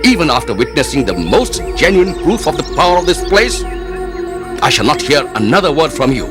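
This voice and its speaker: An actor playing a proud, devout, easily angered Mughal emperor in an animated moral story, speaking in an imperious, declamatory way. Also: male voice, a little high, brisk, over music. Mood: indignant.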